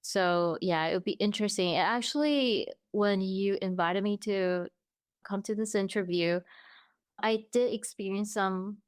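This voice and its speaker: A woman from Taiwan, a guest on a podcast, speaking in a measured way and searching for words. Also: medium pitch, smooth, dry recording, studio quality. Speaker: interview guest. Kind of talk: casual interview chat.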